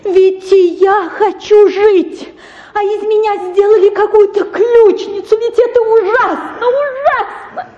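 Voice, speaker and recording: A woman playing an anguished, repressed young gentlewoman in a theatrical radio drama, pleading tremulously. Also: very high-pitched, steady tone, a little slow, lo-fi sound.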